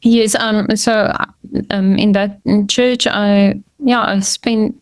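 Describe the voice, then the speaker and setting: A woman's voice: mid-range voice, fairly even intonation, slow pace, smooth, no echo. A middle-aged woman whose first language is Afrikaans, a podcast guest talking casually and hesitantly, with many fillers and restarts. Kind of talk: casual conversational interview.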